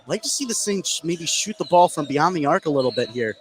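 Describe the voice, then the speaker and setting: A man's voice: on the high side, expressive, fairly quick. A man giving casual, slangy live analysis as a basketball color commentator. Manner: informal, slangy. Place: indoor school basketball gym. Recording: crowded gym behind the voice. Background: crowd noise in gym.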